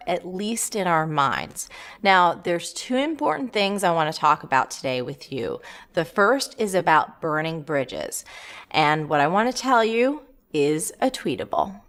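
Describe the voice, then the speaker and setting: A woman giving advice in a lively, sing-song way, her pitch swinging up and down as she stresses key points. She speaks slowly and deliberately, with playful, upbeat emphasis. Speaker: advice host answering a letter. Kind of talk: reading a letter and giving advice.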